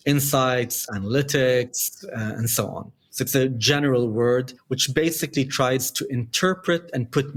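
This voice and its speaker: A middle-aged man from Dubai with a light Dubai accent, answering interview questions in measured, explanatory short phrases. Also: mid-range voice. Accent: light Dubai English. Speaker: podcast guest, company founder.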